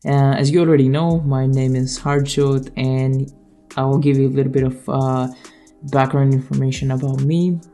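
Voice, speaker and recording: Earnest young man, mellow flat voice. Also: medium pitch, moderate pace, soft background music. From Greece. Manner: earnest, motivational, slightly hesitant. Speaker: podcast host. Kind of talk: solo podcast introduction.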